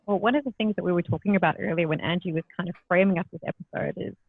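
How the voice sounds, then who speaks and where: A woman's voice: fairly low pitch, very fast, gravelly, no echo. A woman hosting an interview in a casual, conversational way, thinking aloud as she frames her questions. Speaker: podcast host. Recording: thin phone-like audio, dry.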